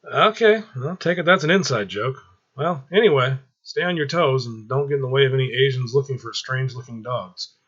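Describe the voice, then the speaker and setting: A man's voice: mid-range voice, fairly quick, fairly bright tone, breathy edge, highs cut off, voice pushed up. A man voicing a friendly, easygoing young soldier who talks in slang, in a story read aloud. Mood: curious, friendly.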